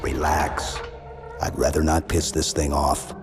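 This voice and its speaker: An actor playing a stoic, grave soldier in a video game, speaking tersely and with understatement. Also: male voice, rumbling low, level tone, unhurried, over loud music. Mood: wary.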